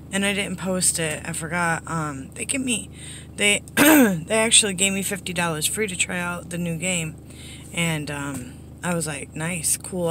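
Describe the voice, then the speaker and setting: Hoarse middle-aged woman vlogging in a car. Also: fairly bright tone. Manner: apologetic, low-key. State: hoarse, under the weather. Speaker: slots vlogger. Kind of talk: casual rambling video update to viewers. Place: inside a parked car. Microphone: phone at arm's length. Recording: background noise inside a vehicle.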